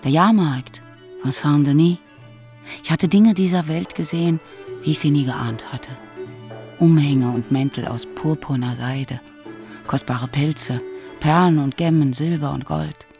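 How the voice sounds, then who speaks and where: Creaky, reflective narrator. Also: male voice, on the high side, measured pace, darkish tone, faint music behind. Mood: wistful. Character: reflective narrator recalling a medieval girlhood. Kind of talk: scripted first-person narration in German radio drama.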